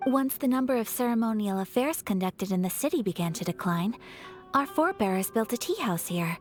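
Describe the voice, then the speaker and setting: Woman playing a poised, high-voiced young noblewoman. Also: animated, a little mellow, close to an everyday voice. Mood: composed. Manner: formal, elegant, measured phrasing. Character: gracious young noblewoman, poised, formal and earnest. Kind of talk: video game character acting. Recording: music playing behind.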